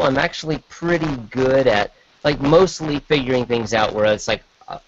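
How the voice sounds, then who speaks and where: Male podcast guest, husky mid-pitched voice, halting self-deprecating chat, dull lo-fi call. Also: no room sound. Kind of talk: casual chat.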